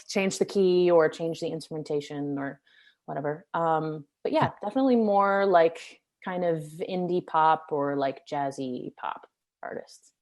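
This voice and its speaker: A young woman, a singer-songwriter, chatting casually in an interview, rambling with many fillers. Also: low-pitched.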